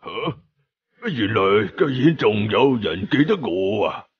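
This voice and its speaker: A man voicing a defiant veteran fighter villain in his thirties from an audio story, rough and sneering, with a Hong Kong Cantonese accent. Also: lowish voice, lively intonation, dull audio, pitched below the performer's own voice, exaggerated voice. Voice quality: rough, gravelly. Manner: slow, taunting, sneering.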